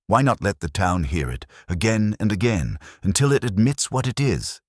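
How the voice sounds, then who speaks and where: A man voicing a proud, scornful woman schemer in a mystery story, speaking in a cold, sharp, deliberate way. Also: fairly low pitch, expressive, dry recording, studio quality, voice pushed up. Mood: sharp, bitter.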